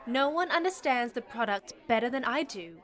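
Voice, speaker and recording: A woman acting an eager magical job candidate, very high and lively in pitch. She gushes with enthusiasm in audio drama style, over light crowd chatter. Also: normal speed. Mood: eager, hopeful. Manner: gushing, enthusiastic. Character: eager, bubbly magical job applicant, a non-shifting shapeshifter.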